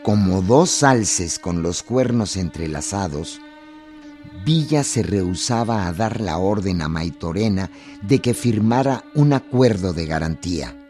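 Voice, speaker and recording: Slow, mellow man narrating. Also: low voice, animated, faint music behind. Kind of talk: scripted dramatic narration of radio history drama.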